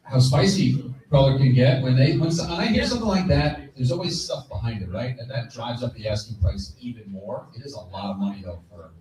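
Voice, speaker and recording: A man speaking as a sports panelist in a lively live discussion, emphatic and repeating phrases for emphasis. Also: medium pitch, fairly quick, a crowd in the background. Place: live convention panel stage. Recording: very echoey room, distant from mic.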